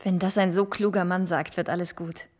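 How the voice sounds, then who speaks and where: An actress playing an earnest, wary young gentlewoman in a German radio drama, speaking with formal courtesy. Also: lowish voice, little pitch movement, a little fast, natural voice. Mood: wary, hopeful.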